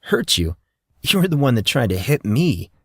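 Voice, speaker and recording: A man's voice: medium pitch, very animated, measured pace, fairly bright tone, slightly rough, no room sound, full character voice. A man voicing a guarded, wry teenage boy with a dry, understated delivery in an audio story.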